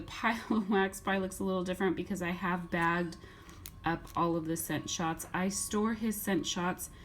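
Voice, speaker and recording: A middle-aged woman talks in a lowish, slightly hoarse voice, as if she is fighting off a cold. She chats casually and rambles, restarting her sentences and filling pauses as she goes. A faint hiss of noise sits under her voice. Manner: chatty, rambling, with many restarts and fillers. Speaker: vlogger. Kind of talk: casual haul video chat.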